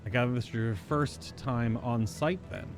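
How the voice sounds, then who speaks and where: A man voicing an earnest, contented farm worker from a fantasy game, reading the dialogue aloud in a polite, measured manner. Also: medium pitch, natural voice. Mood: helpful, matter-of-fact. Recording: music behind the voice.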